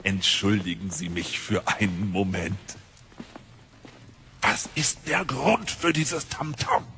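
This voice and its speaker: An actor in a German audio drama playing a shifty, obsequious gang leader with menace underneath and theatrical delivery. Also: male voice, on the low side, animated, slow pace, background noise. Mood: fawning.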